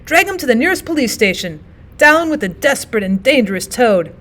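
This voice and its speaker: A woman voicing horrified, outraged gentlemen motorists in a storybook, crying out commands in alarm. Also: a little high, very animated, brisk. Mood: horrified outrage.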